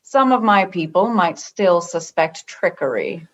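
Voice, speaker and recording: A woman playing a stern, cryptic alien religious and political leader in a sci-fi radio comedy, speaking formally and literally, with measured delivery. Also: on the low side, animated, muffled recording. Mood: cryptic, unbending.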